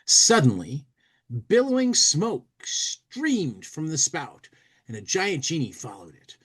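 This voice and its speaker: Male host, animated pitch, informal dramatic reader of comic magazines with offhand asides. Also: slow, breathy edge. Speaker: podcast host. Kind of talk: casual commentary and dramatic reading of a comic magazine.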